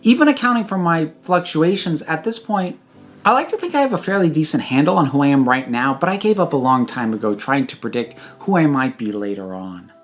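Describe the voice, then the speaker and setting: A speaker in their thirties giving a prepared personal reflection, with measured, emphatic phrasing and deliberate pauses. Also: mid-range voice, animated, soft background music. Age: 30s.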